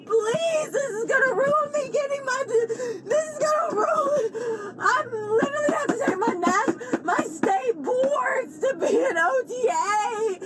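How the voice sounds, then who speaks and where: A young woman sobs and pleads in a very high, wildly swinging voice, her words shouting out through tears. She speaks slowly, with tearful laughs breaking through. The recording is a noisy phone video with loud background noise. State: crying. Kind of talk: distressed pleading.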